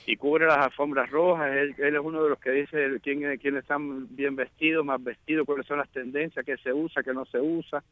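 Male radio guest, chatty, a bit high, dry studio. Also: level tone, normal speed, hardly any pauses, no room sound, narrow, tinny audio. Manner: chatty, restarts often. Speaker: magazine editor, guest. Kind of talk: casual radio interview.

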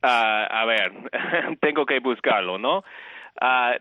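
A man's voice: medium pitch, expressive, takes it slowly, a little hiss or hum. A man whose first language is English, speaking Spanish with an American accent with traces of Quito, answers hesitantly and repeats words as a professor interviewed by phone. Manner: hesitant, repeats words while searching for them. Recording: tinny phone line.

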